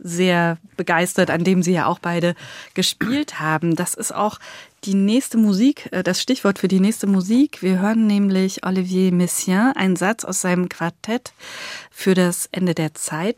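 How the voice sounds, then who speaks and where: A radio host with a clear, conversational manner leads an interview and introduces music. Her voice sits on the low side and sounds dry and close in the studio. She keeps things easy and direct. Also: moderate pace, a little mellow, no room sound.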